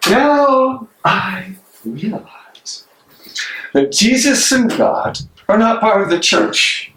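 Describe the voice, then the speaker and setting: A man's voice: high voice, big pitch swings, drawn-out words, a bit bright, full character voice. A man playing an imprisoned, devout and defiant German theologian in a dramatic stage reading, with an impassioned, declamatory delivery. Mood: anguished, defiant. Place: library room, live reading. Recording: echoey room, distant mic, noisy.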